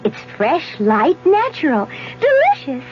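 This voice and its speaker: A woman's voice: huge pitch swings, a little slow, muffled recording, soft background music, full character voice. A woman playing a perky, bossy fairy-tale girl as a self-important taste tester in a vintage radio commercial. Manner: sing-song, self-important pitchwoman. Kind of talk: 1970s radio commercial comedy acting.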